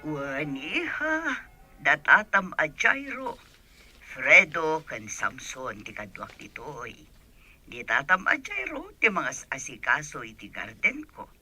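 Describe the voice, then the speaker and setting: A woman playing a lively, talkative older village mother in Ilocano radio drama, with a husky, breathy voice. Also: deep voice, slow pace, soft background music, heavily acted. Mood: matter-of-fact. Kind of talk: Filipino radio comedy-drama acting.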